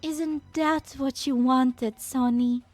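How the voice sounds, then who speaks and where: Woman playing high, slow, gentle idealistic scientist. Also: steady tone, no echo, faint music behind. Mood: pleading, earnest. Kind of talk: dramatic fan-dub voice acting.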